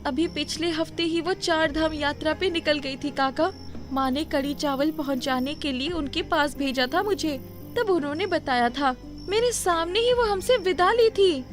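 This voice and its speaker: A woman voicing an earnest, respectful young village girl in an animated moral story, speaking emphatically. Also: sits high, normal speed, soft background music, voice pushed up, close to an everyday voice. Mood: insistent, surprised.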